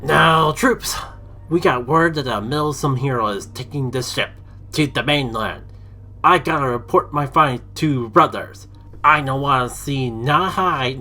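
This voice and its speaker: A man's voice: medium pitch, bright, forceful tone. A man voicing a huge, bulky lion-like villain boss in a story, barking menacing orders like a drill sergeant.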